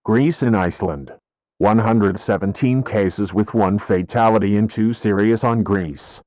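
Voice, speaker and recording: A synthetic-sounding man reading a scripted emergency bulletin in a formal, flat, list-like manner. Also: on the low side, measured pace, no echo. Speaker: emergency alert announcer. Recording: dull lo-fi audio.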